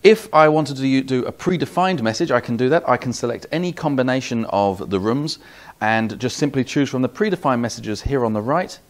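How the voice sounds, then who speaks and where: A man's voice: medium pitch, lively intonation, brisk. A man in his forties with a British accent giving a methodical, step-by-step product demonstration. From United Kingdom. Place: small office demo room, indoors.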